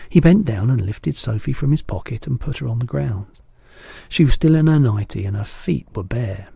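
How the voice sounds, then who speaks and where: A man, a teacher, reading a children's book aloud in steady, low-key narration. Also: moderate pace, creaky, husky, no room sound, studio quality.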